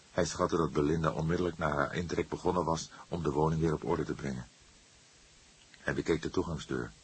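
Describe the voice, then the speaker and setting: A man narrating a crime novel in a measured, dramatic storytelling manner. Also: rumbling low, breathy edge. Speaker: audiobook narrator.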